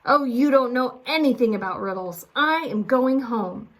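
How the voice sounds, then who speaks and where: A woman's voice: high-pitched, animated, normal speed, pitched above the performer's own voice. Woman putting on an exasperated, bossy storybook bear. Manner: shouting, indignant.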